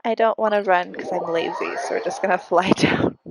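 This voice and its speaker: A woman's voice: medium pitch, fairly even intonation, brisk, vocal fry, noisy background. A congested woman game streamer chatting casually and expressively, breathing heavily and often giggly. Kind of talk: casual livestream chat and reactions. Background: game music.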